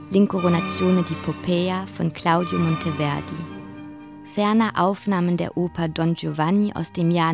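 Female announcer, low pitch, creaky voice, formal scripted credits reading, music clearly behind. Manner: formal, orderly. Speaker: closing announcer.

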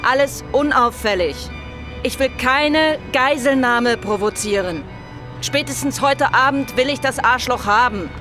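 A hard, commanding police inspector in a radio drama. She is curt and hostile, her voice on the high side. Music and street sound play clearly behind her. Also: measured pace. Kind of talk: German radio drama acting.

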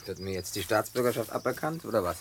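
A man's voice: fairly low pitch, expressive, fast talker, a little hiss or hum. An actor dubbing a wry, teasing farm-boy type in a German film, talking dryly and laconically. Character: wry grown man, a farm boy at heart, teasing and guarded. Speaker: Jim, survivor in a German film dub.

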